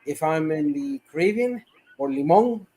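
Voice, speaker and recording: A man's voice: fairly high pitch, animated, normal speed, warm tone. A man from Costa Rica, a guest on a livestream, talking casually and hesitantly with many fillers. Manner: rambling, hesitant with many uhs. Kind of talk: casual chat.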